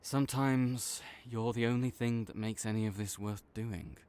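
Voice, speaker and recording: A man playing a proud supervillain in a dramatic audio roleplay, grudging and exasperated, with a secret soft spot that makes him flustered. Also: medium pitch, fairly even intonation, normal speed, clear, resonant tone, close to an everyday voice. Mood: awkward, grudging affection. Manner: tsundere, grudging, exasperated, stammering when sincere.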